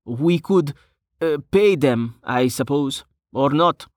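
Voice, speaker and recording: A man's voice: on the high side, lively intonation, slow, no room sound, studio quality, pitched above the performer's own voice. Guy doing a whiny lazy wizard dude from a fantasy audiobook, always indignant. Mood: smug, casual. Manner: complaining, indignant. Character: lazy, whiny male mage adventurer.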